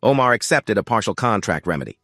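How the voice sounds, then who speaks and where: A man reads a scripted first-person story, measured and sober. His pitch moves around a lot, and the recording is dry and close with no room sound. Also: sing-song, sharp tone, no echo, soft background music. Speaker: narrator.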